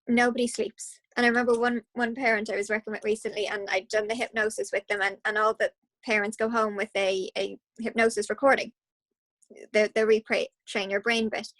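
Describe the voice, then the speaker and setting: Passionate Irish woman, slightly high voice. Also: poor audio. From Ireland. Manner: passionate and animated, with quick restarts. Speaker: guest hypnotherapist. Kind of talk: casual interview talk.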